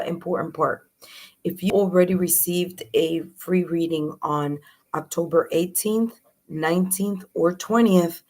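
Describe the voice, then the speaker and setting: A woman with a hoarse, raspy voice hosting a live tarot reading stream, chatty and welcoming with frequent fillers. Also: low voice. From New York. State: hoarse, raspy from early morning and much talking. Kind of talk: live stream talk to viewers.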